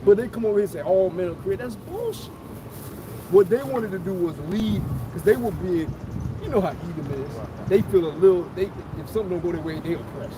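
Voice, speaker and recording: High-voiced man preaching over loud wind. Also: lively intonation, fairly quick. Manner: forceful, profane, talks over others. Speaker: teacher of a street study group. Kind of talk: heated religious teaching. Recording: loud outdoor wind and traffic noise.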